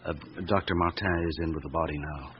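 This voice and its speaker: Casting: deferential police assistant, low voice, slightly hesitant, muffled radio-play recording. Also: male voice, fairly even intonation, a little fast, slight background noise. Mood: dutiful. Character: dutiful male junior police detective, deferential to boss. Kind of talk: radio drama acting.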